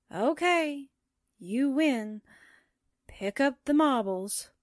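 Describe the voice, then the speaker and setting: A woman's voice: high-pitched, lively intonation, extremely slow, no room sound, clean studio sound, full character voice. Woman acting a swooping, wisecracking sidekick. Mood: wry, resigned. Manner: sardonic, wry. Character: wisecracking, reluctant sidekick of a newspaperwoman. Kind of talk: 1940s radio drama acting.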